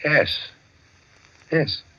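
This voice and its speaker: An actor playing a thoughtful, guarded psychologist, hesitant and evasive, in a 1940s radio drama style. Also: male voice, drawn-out words, sharp tone. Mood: troubled. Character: guarded, thoughtful American psychologist visiting the tropics. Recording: muffled old radio broadcast.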